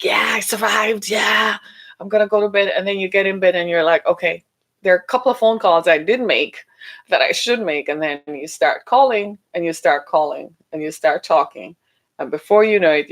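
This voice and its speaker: A middle-aged woman hosting a solo podcast, who is losing her voice and talks chattily, often asking listeners "right?", going up in pitch when she mocks someone. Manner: animated, rising pitch when mocking. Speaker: podcast host.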